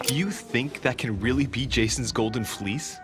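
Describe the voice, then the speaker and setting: A man acting an eager, inquisitive young gentleman investigator in a video game cutscene, with an earnest, teasing, expressive delivery. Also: medium pitch, moderate pace, music in the background, lightly acted. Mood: eager, slightly piqued.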